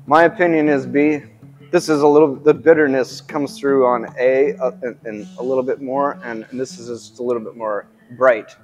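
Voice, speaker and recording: A man's voice: medium pitch, fairly even intonation, moderate pace, darkish tone, poor audio. A man tasting beers in a brewery taproom, giving casual, playful, rambling comments into a lapel mic. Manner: chatty, playful, hedging. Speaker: coworker taste tester.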